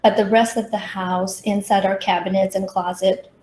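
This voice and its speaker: A woman's voice: medium pitch, little pitch movement, moderate pace, a little mellow. A middle-aged woman from Taiwan giving a prepared workshop presentation with personal stories, as a professional consultant. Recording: roomy echo, faint background noise.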